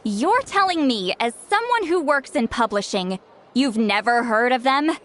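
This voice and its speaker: Haughty centuries-old shaman, high voice, very lively pitch, sardonic, dismissive. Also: a little slow. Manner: sarcastic, teasing, dismissive. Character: sharp-tongued centuries-old female shaman, haughty and lazy. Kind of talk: game cutscene voice acting.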